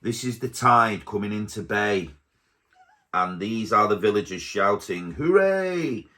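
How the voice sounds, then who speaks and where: Dad reading a storybook to his baby, low voice, real slow and soft. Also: animated. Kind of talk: reading a picture book aloud.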